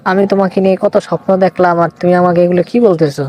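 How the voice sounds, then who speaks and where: A man's voice: high-pitched, fairly even intonation, racing, darkish tone, lightly acted. A man with a Bangladeshi accent playing a devoted, hot-headed young boyfriend in a comic cartoon. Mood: hurt, angry.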